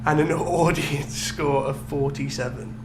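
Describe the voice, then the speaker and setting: A man's voice: a little high, fairly even intonation, unhurried. A young man co-hosting a podcast, chatting casually in an informal, self-deprecating way. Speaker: podcast co-host. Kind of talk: casual chat. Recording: echoey room with background hum.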